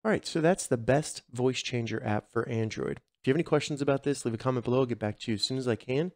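A man narrates a how-to tutorial as its host. His pitch is average, and he lays out instructions step by step. The sound is close and free of room echo. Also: fast. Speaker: host of tech tutorial channel.

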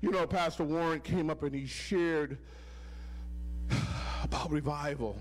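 A middle-aged man preaching a sermon from a church pulpit into a handheld mic, with a loud voice and call-and-response lines. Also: fairly high pitch, a little slow, a little hiss or hum. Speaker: deacon preaching. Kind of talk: sermon with sung hymn.

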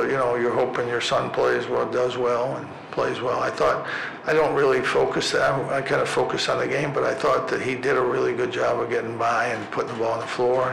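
A man's voice: medium pitch, vocal fry. An older man, a basketball head coach, answering questions at a postgame press conference, talking plainly with many fillers and restarts into a podium microphone. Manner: halting, plain-spoken, frequent fillers and restarts. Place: press room at a podium before a sponsor backdrop. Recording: echoey press room with background noise.

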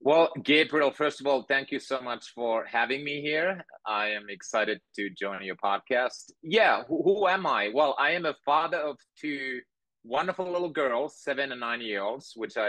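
A man, telling his story in a measured, thoughtful way as a podcast guest on a video call. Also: medium pitch, fairly bright tone, no echo, poor audio. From Finland. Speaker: guest entrepreneur. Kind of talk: interview conversation. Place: home room with bookshelves.

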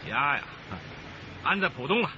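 A hearty migrant foreman in a radio drama, boastful and sure of himself. His voice sits fairly high and moves freely in expansive, homespun speech. The soundtrack is muffled with clear background noise. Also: very animated, normal speed, pushed and bright, full character voice. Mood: boastful, friendly. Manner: expansive, folksy, self-assured.

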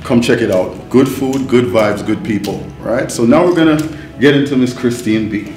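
A man's voice: mid-range voice, normal speed, a little mellow, faint music behind. A man from the Bronx hosting an interview show, with upbeat patter and easy laughs. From the Bronx, New York. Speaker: show host. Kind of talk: casual interview chat. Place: hotel room, indoors. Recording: roomy hotel room echo.

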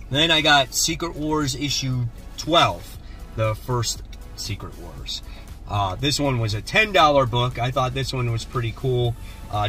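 Chatty man talking in a parked car. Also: medium pitch. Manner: relaxed, chatty, enthusiastic about his finds. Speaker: comic book channel host. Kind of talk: casual vlog chat. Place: parked car, then outdoors and inside a shop. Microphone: phone camera at arm's length.

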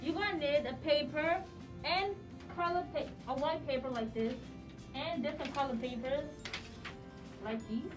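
A young woman in a small classroom, giving children clear step-by-step craft directions. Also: high-pitched, moderate pace. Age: young adult. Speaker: student teacher leading a craft activity. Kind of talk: instructing children. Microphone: far camera. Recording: distant mic with music behind.

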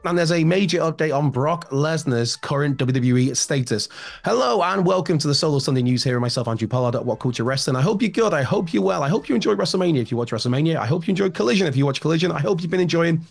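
A man in his thirties hosting a solo wrestling news show, his voice slightly hoarse and raspy, chatty and rambling with fillers and asides, recorded at home on a studio mic. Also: a little high, animated, fast. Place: home room with shelves, indoors. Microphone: large studio mic in front, headphones.

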